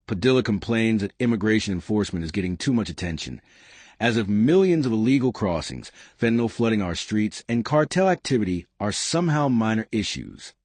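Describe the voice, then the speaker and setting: A man's voice: fairly low pitch, big pitch swings, fairly quick, darkish tone, dry recording. A man delivering scripted political commentary in an emphatic, partisan way.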